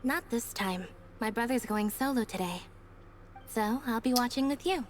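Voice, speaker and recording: A woman as a deadpan young magician's assistant. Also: on the high side, normal speed, loud music behind the voice, lightly acted. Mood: calm. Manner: deadpan, composed. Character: calm, cool young female magician's assistant. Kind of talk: video game voice acting.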